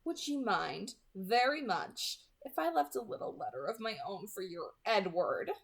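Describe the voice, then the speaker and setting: A woman's voice: mid-range voice, big pitch swings, unhurried, gravelly, husky, exaggerated voice. A woman reading aloud as a gloating, mockingly polite male vampire hunter in a storybook, with a genteel, sarcastic manner. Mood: mock polite.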